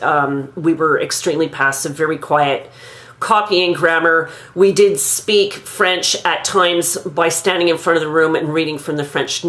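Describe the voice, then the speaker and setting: A woman in her fifties from Quebec, thoughtfully recounting her own school memories in a measured, pausing monologue. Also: sits low, a bit bright. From Quebec, Canada. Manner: measured, thoughtful, with frequent pauses. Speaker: grandmother recounting her language learning. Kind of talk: reflective personal monologue.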